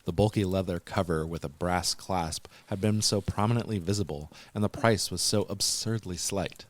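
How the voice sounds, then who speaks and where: A man reading scripted horror narration as a podcast host, in a measured, deliberate and formal manner. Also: low-pitched.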